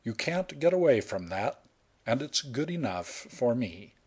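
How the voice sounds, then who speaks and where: A man playing a calm, teasing young man. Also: mid-range voice, husky. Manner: unhurried, dry, wry.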